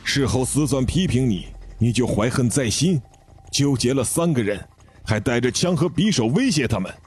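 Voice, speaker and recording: A man voicing a brave male worker who loudly scolds a troublemaker and defends his crew. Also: medium pitch, lively intonation, normal speed, breathy edge. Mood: scolding. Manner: loud, scolding, passionate.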